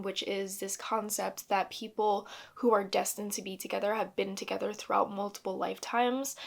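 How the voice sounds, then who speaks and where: A woman in her twenties talking casually to camera in a reading vlog, chatty and earnest, in a small home office. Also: mid-range voice. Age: 20s. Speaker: book vlogger.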